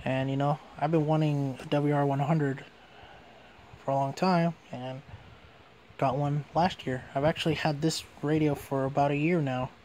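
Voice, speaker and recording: A man with a fairly high voice presents a hands-on gadget review. He talks informally, like an everyday hobbyist video, and tends to restate his points as he goes. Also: fairly even intonation, normal speed, a little mellow, slight background noise. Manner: informal, repeats and rephrases himself. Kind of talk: casual hands-on product review.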